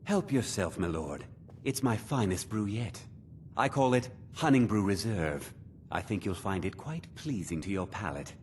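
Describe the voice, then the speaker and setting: An actor playing a fussy meadery owner in a fantasy video game, with an obsequious, fretful manner. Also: male voice, fairly low pitch, expressive, a little slow, a little hiss or hum. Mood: fawning. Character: fussy male meadery owner, servile to his betters.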